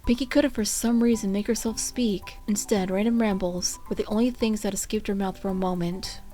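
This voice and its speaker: A woman with a medium-pitched voice narrates a piece of fan fiction. She reads in a storytelling style, with music playing behind her. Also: steady tone, a little fast, warm tone.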